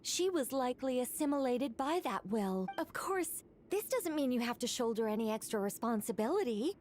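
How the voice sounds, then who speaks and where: A woman playing a solemn, knowing guide in a video game, speaking in a measured, formal way. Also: a little high, very animated, darkish tone, faint music behind. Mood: calm, solemn. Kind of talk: scripted video game dialogue.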